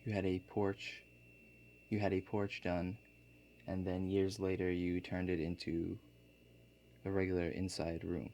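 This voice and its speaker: A man playing a laid-back construction contractor in a soft-spoken ASMR role-play, talking in a halting, matter-of-fact way. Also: deep voice, level tone. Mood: quietly inquisitive. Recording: faint background noise.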